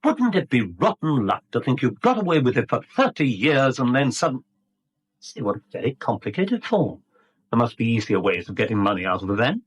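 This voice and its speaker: Middle-aged English blackmailer, radio drama, lively swooping pitch, husky, insinuating, mock-courteous. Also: male voice, fairly quick, highs cut off. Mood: menacingly playful. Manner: mock-polite, teasing, insinuating. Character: sly, insinuating small-time property speculator and blackmailer. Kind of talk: 1970s British radio drama acting.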